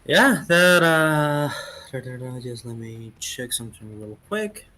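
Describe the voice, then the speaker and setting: A man running a tabletop roleplaying game as game master, talking in a hesitant, halting way. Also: medium pitch, expressive, pushed and bright.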